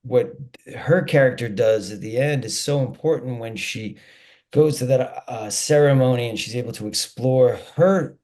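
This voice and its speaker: A man's voice: medium pitch, normal speed, darkish tone. Earnest man talking about his film role. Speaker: actor guest. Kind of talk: casual interview.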